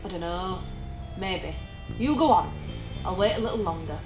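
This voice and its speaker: A woman's voice: lowish voice, expressive, moderate pace, lightly acted. Woman playing sarcastic young call-centre worker, roomy. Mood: concerned. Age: young adult. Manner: informal, dry sarcasm. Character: jaded twenty-five-year-old female call-centre worker, sarcastic, restless. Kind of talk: naturalistic audio drama acting. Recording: roomy, music underneath.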